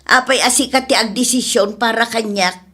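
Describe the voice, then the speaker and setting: Woman voicing a high-pitched, sensible young nephew. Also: normal speed, pushed and bright. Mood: exasperated, calming. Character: sensible young nephew reasoning patiently with his uncle. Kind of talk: radio drama acting.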